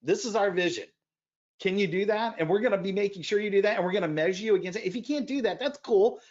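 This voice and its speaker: A man speaking as a podcast guest in animated conversation, emphatic, with loud bursts and quieter asides. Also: sits high, fast talker, muffled recording.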